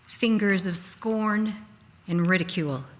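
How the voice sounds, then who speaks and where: A woman giving a solemn, dramatic scripted reading as a church reader, with deliberate, weighty phrasing. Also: medium pitch.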